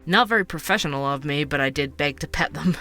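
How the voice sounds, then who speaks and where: Male true-crime podcaster: higher-pitched, bright, wry and candid, conversational, faint music underneath. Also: quick pace. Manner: conversational, wry, hesitant asides. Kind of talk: fictional podcast narration and dialogue.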